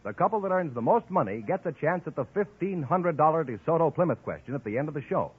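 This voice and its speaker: A man reads as a formal mid-century radio announcer with lively, swooping intonation. His voice is fairly high and a bit rough, heard through a narrow, tinny old broadcast. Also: fast. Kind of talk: scripted vintage radio advertising and announcing.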